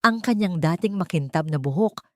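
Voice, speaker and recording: A woman narrating a scripted romance drama story in a steady, measured way. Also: fairly low pitch, no echo, studio quality.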